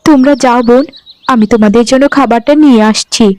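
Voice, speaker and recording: A woman voicing a gentle, dutiful young bride in a fairy-tale cartoon, speaking meekly and politely. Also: on the high side, steady tone, fast, dry recording, studio quality, close to an everyday voice. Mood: meek, pleading. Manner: meek, polite, pleading. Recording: background music under the voice.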